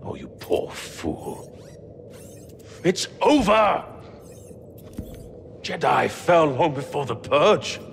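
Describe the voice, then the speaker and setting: An actor playing a grizzled, power-hungry fallen master in a video game, theatrical and grandiose. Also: male voice, on the high side, creaky, slight background noise, exaggerated voice. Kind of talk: video game cinematic acting.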